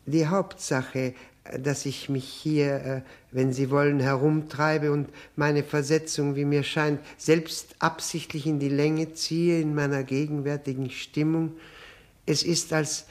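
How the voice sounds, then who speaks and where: Actor as obsequious, halting widower, higher-pitched voice. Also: male voice, flat delivery, a little mellow, lightly acted. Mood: evasive, halting. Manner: halting, apologetic, overly polite. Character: obsequious, self-abasing, evasive widower in mourning. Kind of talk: 1960s German radio drama acting.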